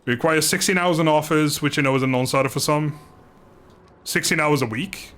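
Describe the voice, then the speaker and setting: A man livestreaming a video game, chatting informally and thinking aloud in a halting, casual way. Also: on the high side, fairly even intonation, a bit bright, faint music behind. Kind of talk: casual livestream chat over gameplay.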